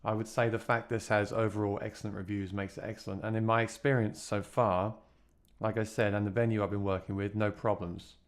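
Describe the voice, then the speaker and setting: A man with a deep voice, a gigging musician, chatting plainly through a gear review. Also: level tone, brisk, dry recording.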